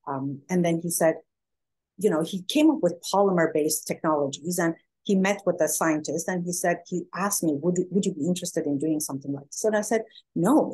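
A woman's voice: fairly low pitch, darkish tone, breathy edge, rough recording. A woman in her forties from Iran, a guest speaker explaining her business on a video call, earnest with many fillers. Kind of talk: presentation and interview answers. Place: home room.

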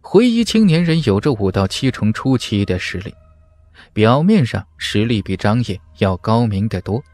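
Man narrating a fantasy audiobook with lively pitch. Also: normal speed. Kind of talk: dramatic scripted narration of a fantasy novel.

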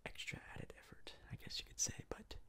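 A man giving soft-spoken whispered ASMR game commentary in a breathy, husky voice, rambling hesitantly and repeating words. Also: fairly quick. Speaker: gaming video host.

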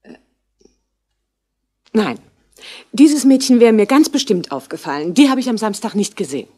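An actress playing a chatty, coy and obliging flower seller in a 1960s radio play. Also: female voice, fairly high pitch, sing-song, moderate pace, warm tone, breathy edge.

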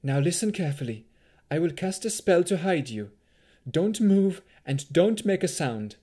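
Man voicing a high-pitched, desperate protective mother. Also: expressive, normal speed, dark tone, slightly rough. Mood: urgent, fearful. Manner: urgent, commanding. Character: desperate protective sorceress mother urging her small daughter.

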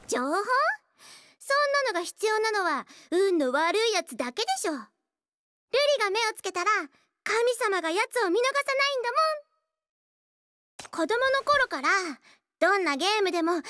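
A woman's voice: very high-pitched, sharp tone, dry recording, exaggerated voice. An actress playing a playful, cocky young girl assassin who loves gambling, in a cute, teasing anime game style. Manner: cute, teasing, refers to herself by name.

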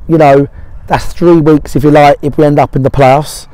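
A man's voice: on the high side, steady tone, moderate pace, mellow, no echo, low-quality recording. A middle-aged man giving casual post-match talk as a football manager, blunt and matey, repeating short phrases for emphasis. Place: outdoors on an empty football pitch by a small stand.

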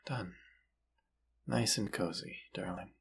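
A man playing a gentle, forgiving vampire hunter and lover in an intimate audio roleplay, speaking tenderly with hesitant pauses. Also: fairly low pitch, gravelly, slight background noise. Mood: tender, soothing.

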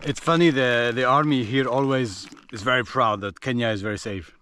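A man's voice: mid-range voice, fairly quick, sharp tone, a little hiss or hum. French-accented man vlogging outdoors. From France. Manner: chatty, hesitant with many fillers. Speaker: cycling travel vlogger. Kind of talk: casual self-filmed travel vlog. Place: outdoors on a roadside in dry bush. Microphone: camera held at arm's length.

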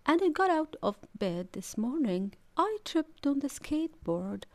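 A woman narrating a children's storybook aloud in an expressive, deliberate, emphatic storytelling manner. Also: sits high. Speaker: audiobook narrator.

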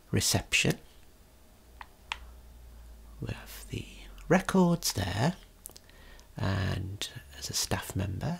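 Slow, breathy whispering ASMR guide. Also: medium pitch. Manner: gentle, unhurried, explanatory. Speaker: tour guide. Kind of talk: ASMR whispered scripted tour narration.

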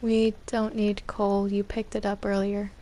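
A woman's voice: medium pitch, monotone, unhurried, mellow, lightly acted. A woman playing a controlling, teasing villainess in an improvised game roleplay, bossy and taunting. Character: controlling, teasing female villain Susie, enjoying torment.

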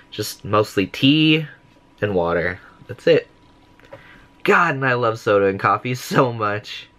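A man's voice: fairly low pitch, pushed and bright. A young man vlogging from his small bedroom, talking casually and rambling close to the camera with self-mocking humor. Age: young adult. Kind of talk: casual daily vlog chat. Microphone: camera close to face.

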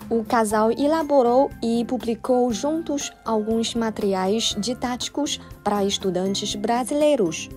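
A woman giving scripted narration for a news feature in a formal, even manner. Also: fairly high pitch, unhurried, few pauses, soft background music.

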